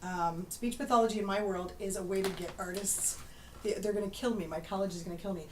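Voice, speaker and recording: A woman's voice: mid-range voice, steady tone, quick pace. A Canadian woman, a guest who is a speech pathologist and singer, chatting casually with a bubbly, talkative manner and frequent laughs. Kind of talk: casual chat. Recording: echoey room, mic at a distance, faint hiss.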